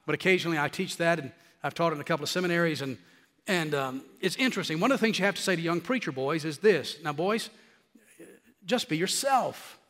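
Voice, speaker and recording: High, nasally middle-aged male preacher. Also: in a large hall. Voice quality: nasally, scratchy voice. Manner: animated, rising to emphatic peaks. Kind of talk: sermon.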